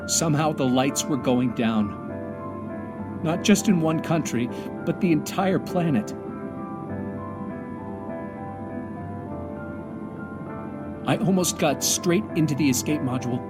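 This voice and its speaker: Solemn astronaut played by a man over loud music. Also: fairly high pitch, expressive, lightly acted. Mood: awed, somber, then earnest. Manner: solemn, measured, reflective delivery with pauses. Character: stranded male astronaut commander, reflective, hopeful, resigned to danger. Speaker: narrator and lead of an audio drama. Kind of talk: dramatic first-person monologue.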